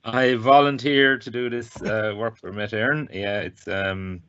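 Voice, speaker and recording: A man's voice: lowish voice, fairly even intonation, unhurried, fairly bright tone, clear, resonant tone, highs cut off. An Irish man chatting casually as a guest contributor, explaining things in a hesitant, conversational way. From Ireland. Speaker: guest contributor, volunteer weather observer.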